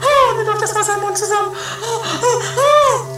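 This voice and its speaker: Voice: very high-pitched, fairly quick. A performer playing a vain, gushing, melodramatic older sister in an improvised comedy radio play, theatrical and wailing. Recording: distant, roomy recording under music.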